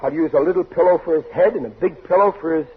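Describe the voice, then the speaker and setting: Blustering American radio dad, tinny recording. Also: male voice, on the high side, fast talker, slightly rough. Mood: scheming. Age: middle-aged. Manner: pleading bluster, ungrammatical everyman speech. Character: blustering, bumbling working-class American husband and father. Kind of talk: 1940s radio sitcom acting.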